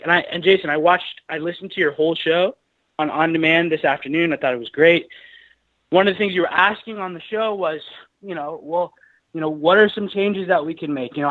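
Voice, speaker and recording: A man's voice: a little high, dry recording. A man in his thirties calling in to a radio show, talking earnestly with many restarts and fillers. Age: 30s. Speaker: caller. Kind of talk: call-in radio talk. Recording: dull phone-line call audio.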